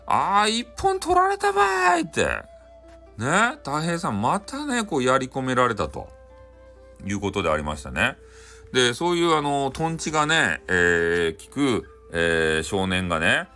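A man with a Hakata accent narrating a bedtime folktale in a casual, chatty, animated way. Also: low-pitched. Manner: chatty, animated, frequent sentence-ending fillers. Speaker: storyteller.

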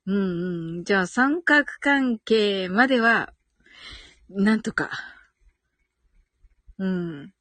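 A woman's voice: medium pitch, animated, takes it slowly, pauses often, fairly bright tone, no room sound. A woman hosting a casual live audio stream, giggly and thinking aloud as she reacts to listener comments.

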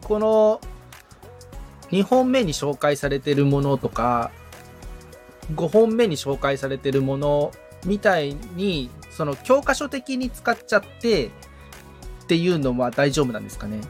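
A man in a casual consultation, asking tentative questions as a beginner and often chiming in with quick acknowledgements. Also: on the high side, big pitch swings, faint music behind.

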